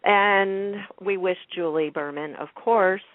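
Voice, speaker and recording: A woman's voice: mid-range voice, slow, no room sound. A woman chatting casually as a panelist on a radio show about television. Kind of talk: casual chat about TV soaps. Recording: thin phone-like radio line.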